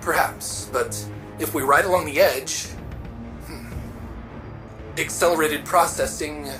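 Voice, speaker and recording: An actress playing a pensive, clinical thinker in a sci-fi game, halting and analytical, with clipped phrasing. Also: female voice, deep voice, steady tone, music in the background. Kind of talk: video game voice acting.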